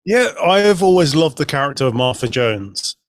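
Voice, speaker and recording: A man's voice: on the high side, very animated, moderate pace, no echo, studio quality. A man chatting casually and anecdotally as a podcast guest.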